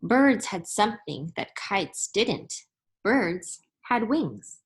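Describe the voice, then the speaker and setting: A woman reads aloud from a children's book in the manner of a teacher. Her pace is slow and deliberate, and her pitch sits in the middle range. She sounds instructive, setting out each term carefully for young listeners. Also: very animated. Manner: deliberate, instructive, spelling out and explaining terms.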